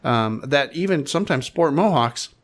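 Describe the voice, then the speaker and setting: A man's voice: on the high side, animated, normal speed. Casual, rambling male podcast host. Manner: informal, rambling, wry. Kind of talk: casual podcast chat with sponsor plugs.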